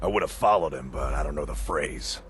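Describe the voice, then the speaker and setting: A man's voice: rumbling low, brisk, a bit bright, a little hiss or hum. A male actor playing a wounded, bitter assassin in a video game, speaking in a grim, terse, strained mutter. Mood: grim, pained. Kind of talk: video game character acting.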